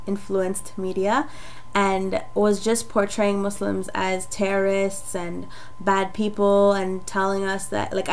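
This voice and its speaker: Raspy young woman from Jamaica. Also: medium pitch, slight background noise. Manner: chatty and rambling, frequent fillers. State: getting over a cold. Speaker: vlogger. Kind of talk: casual personal testimony to camera.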